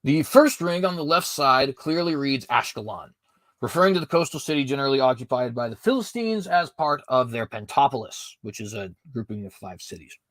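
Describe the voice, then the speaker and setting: Male host, mid-pitched voice, dry close recording, reads notes and corrects himself often. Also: expressive, fairly quick, a bit bright, no room sound. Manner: reads prepared text, frequent fillers and self-corrections. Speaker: podcast host. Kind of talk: apologetics commentary reading notes.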